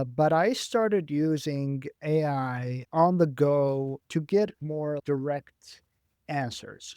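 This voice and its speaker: A young man whose first language is Arabic, speaking thoughtfully as a graduate student guest in a career interview, with frequent "uh" pauses. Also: on the high side, darkish tone, no echo, clean studio sound.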